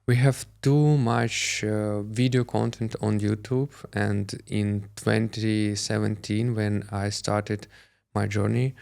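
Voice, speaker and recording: A Russian man with a Russian accent, a crypto influencer answering interview questions in hesitant English with many filler pauses. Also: on the low side, level tone, creaky, a little mellow.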